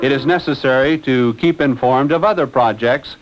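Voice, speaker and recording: A man narrating a scripted 1960s educational film in a formal, measured, expository manner. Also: medium pitch. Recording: noisy old 1960s film soundtrack.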